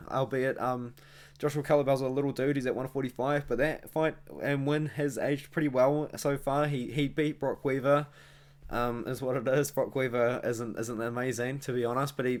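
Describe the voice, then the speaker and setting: Young kiwi guy rambling through an mma pick, mid-pitched voice, keeps talking to the viewers. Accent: New Zealand. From New Zealand. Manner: chatty, rambling, self-correcting, with asides to viewers. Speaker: MMA analysis channel host. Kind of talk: casual fight prediction monologue.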